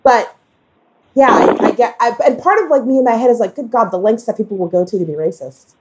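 A woman in a casual discussion, speaking haltingly as she thinks aloud and corrects herself. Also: a little high, very noisy. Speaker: podcast discussion guest.

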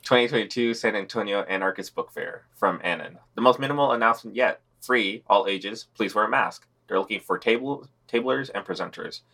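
A man with a very low, gravelly voice co-hosts a podcast news roundup. He reads the summaries and quotes in a laid-back, sardonic way. Vocal fry runs through his delivery. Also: brisk, hardly any pauses, bright, forceful tone. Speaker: podcast co-host reading news.

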